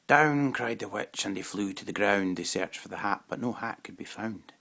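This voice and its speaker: A man giving an expressive, dramatic reading of a rhyming children's picture book. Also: on the low side, normal speed, creaky. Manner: dramatic, rhythmic rhyming delivery. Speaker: storybook reader.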